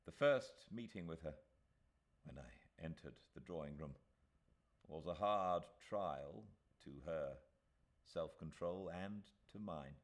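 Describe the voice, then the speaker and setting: A low-pitched British man narrates a classic novel. His delivery is measured and expressive, with deliberate pauses in the manner of a dramatic audiobook. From Britain. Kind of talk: dramatic literary storybook narration.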